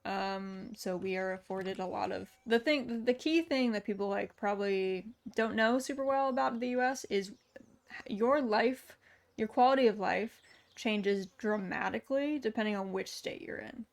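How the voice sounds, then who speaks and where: A woman's voice: medium pitch, moderate pace, vocal fry. A woman streaming a game, chatting casually and answering viewer chat with lots of fillers. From United States. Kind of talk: casual chat while gaming.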